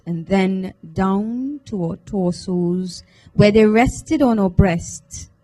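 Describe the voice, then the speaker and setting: A woman giving an expressive first-person literary reading, in a measured, deliberate, dramatic manner. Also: medium pitch, low-quality recording. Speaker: reader at a book launch. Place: live book launch event at a library.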